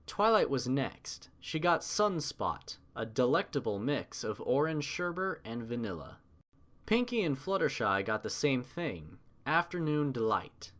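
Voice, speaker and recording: A man's voice: mid-range voice, fairly bright tone. A man reading a scripted story as its narrator. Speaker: narrator of a fan fiction reading.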